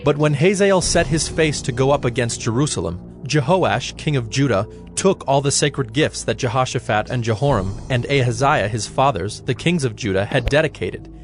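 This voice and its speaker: A man's voice: mid-range voice, moderate pace, few pauses. Solemn male scripture narrator over faint music.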